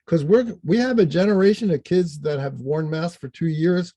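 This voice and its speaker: Higher-pitched older man, emphatic talker. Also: normal speed, warm tone, dry recording. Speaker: podcast guest, astrologer and author. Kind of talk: casual interview chat.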